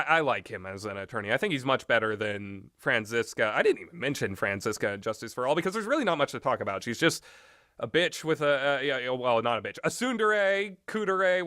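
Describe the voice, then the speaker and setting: A man's voice: medium pitch, pushed and bright, clean tone, no echo, clean studio sound. A man giving casual, rambling commentary in a blunt, opinionated way, swearing freely as he goes. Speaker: solo commentator on visual novels.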